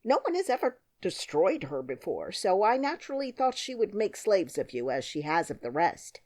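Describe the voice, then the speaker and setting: A woman reading an audiobook, voicing a male gatekeeper who speaks in a measured, matter-of-fact way. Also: medium pitch, sing-song, darkish tone. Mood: stern. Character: stern male gatekeeper officer.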